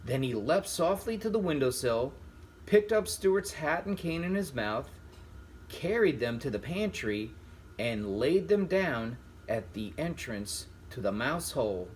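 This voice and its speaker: A man reading a children's book aloud in a deliberate, teacherly way. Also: medium pitch, sing-song, darkish tone, slight background noise. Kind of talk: read-aloud storytelling with vocabulary and questions.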